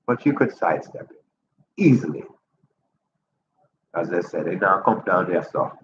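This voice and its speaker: A man's voice: low-pitched, lively intonation, moderate pace. A man in his fifties with a stuffy nose from a cold, talking casually to camera in his apartment, upbeat and chatty, his voice jumping up when he makes a point. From Jamaica. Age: 50s. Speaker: vlogger. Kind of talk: casual vlog talk to camera. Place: apartment indoors. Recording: roomy lo-fi audio with ambient noise.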